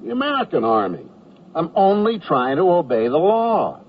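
A man's voice: on the high side, huge pitch swings, moderate pace. An actor playing a mild, hapless army draftee who tells his own story in an old radio comedy. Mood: flustered, earnest. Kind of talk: first-person radio narration and comedy acting. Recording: noisy, muffled, distant vintage radio recording.

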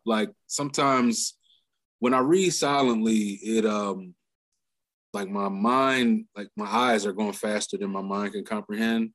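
A man talking casually as a podcast guest, halting with fillers and laughing often. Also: lowish voice, fairly bright tone.

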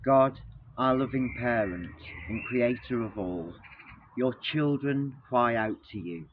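A man leads a litany prayer in a solemn, measured way. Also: medium pitch. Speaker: prayer leader. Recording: tinny, phone-like line with faint hiss.

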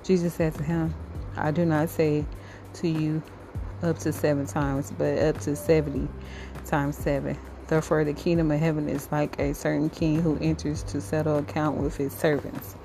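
A low female voice reads scripture aloud for a devotional podcast, with little rise and fall in pitch. She goes phrase by phrase in a halting way, and music plays clearly behind her. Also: little pitch movement, rough recording. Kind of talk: devotional Bible reading. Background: music under the voice.

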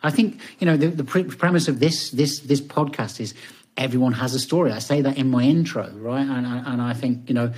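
Guy hosting a podcast, kinda husky, stops and restarts his sentences a lot. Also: medium pitch, a little mellow. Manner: halting, lots of restarts. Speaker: podcast host. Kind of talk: casual interview chat.

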